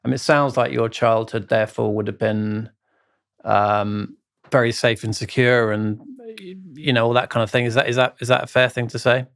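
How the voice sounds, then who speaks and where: A man hosting a podcast, asking a designer about her childhood with gentle, probing questions. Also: medium pitch, normal speed, vocal fry. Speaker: podcast host. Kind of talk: interviewing.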